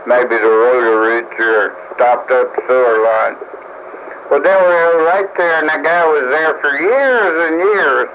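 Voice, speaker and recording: A man with a slightly high voice argues in a mocking, challenging way, needling the other talker. The sound is a dull, band-limited CB radio tape with some noise behind it. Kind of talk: casual argumentative CB radio banter.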